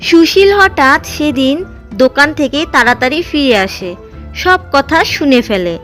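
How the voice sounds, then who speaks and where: Slow, very high-voiced woman narrator. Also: expressive, fairly bright tone, soft background music. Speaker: story narrator. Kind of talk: scripted cartoon story narration.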